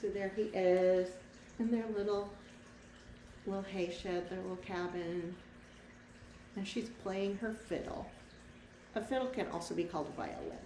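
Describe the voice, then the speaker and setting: A woman's voice: medium pitch, a little slow, long pauses, mellow. A woman teacher reading a picture book to children expressively, with simple, casual explanations along the way. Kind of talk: children's read-aloud with casual asides to the class. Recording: roomy echo, distant mic, faint background noise.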